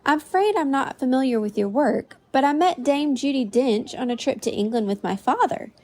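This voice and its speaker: Lady playing a polite ai assistant, calm and even. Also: sits high, moderate pace. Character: polite female AI voice assistant, reporting. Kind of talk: comedy podcast sketch.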